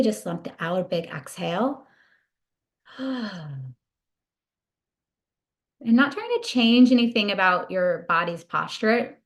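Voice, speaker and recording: A woman leading a guided body scan meditation in a soothing, gentle, unhurried manner. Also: medium pitch.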